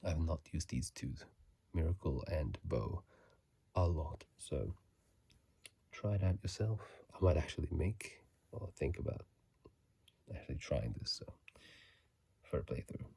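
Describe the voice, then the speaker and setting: Man, soft ASMR whisper, dark mellow tone, very slow and unhurried, close dry phone recording, casual gaming commentary. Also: rumbling low, animated, gravelly, slightly rough, no echo. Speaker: ASMR video creator. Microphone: phone mic, close up.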